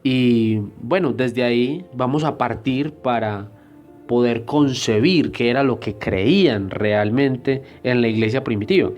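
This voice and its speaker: A man lectures on church history in a measured, explanatory voice, neither high nor low. He often stops to hesitate before pushing on. Faint music plays softly behind him. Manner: deliberate, explanatory, frequent hesitation fillers. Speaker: Bible teacher.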